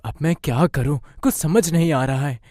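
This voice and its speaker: A man's voice: on the high side, normal speed, dark tone, no room sound, studio quality, close to an everyday voice. A man voicing a troubled, dutiful young son in a moral-story cartoon, earnest and worried. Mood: dejected.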